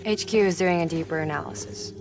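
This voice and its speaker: A woman in her thirties playing a weary, kind scientist mother in a video game, speaking in a soft, measured, matter-of-fact way. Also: lowish voice, music in the background, natural voice. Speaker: scientist and engineer. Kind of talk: naturalistic cinematic video game acting.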